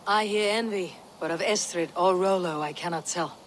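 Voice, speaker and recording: A woman's voice: lowish voice, moderate pace, slight background noise, natural voice. A woman playing a stern, blunt Viking warrior in a video game, questioning in a terse, commanding way. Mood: stern, probing. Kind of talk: video game cutscene acting.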